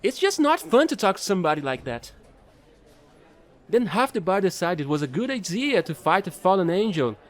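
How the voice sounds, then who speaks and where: A man playing a chatty, excitable fallen alien angel who trades in space, gushing and rambling in an audio roleplay. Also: high voice, normal speed. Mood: amused, chatty. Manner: bubbly, gushing, rambling, apologetic.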